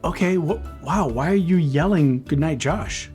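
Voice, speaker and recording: A man co-hosting a children's read-aloud, theatrical and comedic, moving between storybook narration, banter and hushed words. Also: on the high side, measured pace, soft background music. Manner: theatrical, expressive, with gasps and whispered words.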